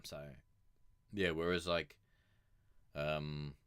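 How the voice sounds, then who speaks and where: A man with a very deep voice chats off the cuff on his music stream. He rambles and restarts, dropping fillers and the odd curse, and lifts his voice a touch above its lowest register. The sound is close and dry, with no room around it. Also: flat delivery, drawn-out words, fairly bright tone, smooth, no echo. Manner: rambling, many fillers and restarts, casual swearing; consciously keeps his voice raised above its natural depth. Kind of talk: casual chat.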